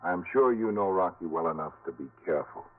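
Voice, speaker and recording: An actor playing a seasoned, cautious lawman in 1950s radio drama, speaking in a deliberate, confiding way. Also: low-pitched, expressive. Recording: vintage narrow-band radio.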